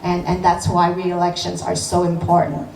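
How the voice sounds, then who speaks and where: A woman's voice: fairly low pitch, completely flat delivery, moderate pace, gravelly, darkish tone, recorded from a distance. A middle-aged woman, a city council member, gives an earnest campaign speech full of fillers and restarts. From born in Hong Kong, grew up in Los Angeles. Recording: echoey room, voice from afar, noisy.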